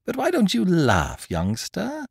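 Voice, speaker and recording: Storybook gentleman thief, acted by a male reader: exuberant, mocking, boastful, hugely animated pitch, dry close sound. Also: measured pace, vocal fry, slightly rough, no echo, full character voice. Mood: taunting. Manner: mocking, theatrical, peppered with rhetorical questions. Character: gentleman thief, teasing, exuberant, boastful, playfully condescending to youngster. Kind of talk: dramatised audiobook character dialogue.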